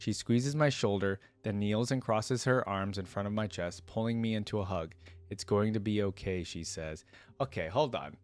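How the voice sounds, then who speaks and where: A man's voice: lowish voice, moderate pace. A man reading a story aloud as a reaction host, with informal, slangy asides, recorded close on a microphone.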